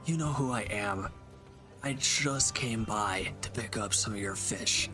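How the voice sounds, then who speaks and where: A man playing a reformed villain turned protective lover in an intimate audio roleplay, with a gravelly, breathy voice. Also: fairly low pitch, a little slow, music in the background, natural voice. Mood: firm, confrontational. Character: reformed male villain, tender protective lover, gruffly firm.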